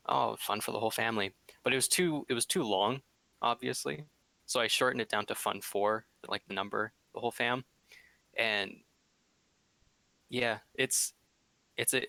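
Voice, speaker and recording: A young man with a fairly low but bright, forward voice talks casually as a podcast guest. He rambles a little and feels his way through sentences, in a very dry recording. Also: gravelly, no echo. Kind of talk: casual chat.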